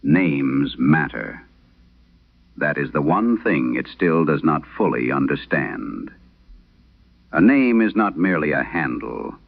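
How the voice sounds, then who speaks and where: An actor playing an aging, haunted priest, giving a slow, solemn confession in a grave, measured horror radio monologue. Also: male voice, very deep voice, expressive, gravelly, husky, heavily acted. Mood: grave warning. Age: older. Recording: muffled vintage radio sound.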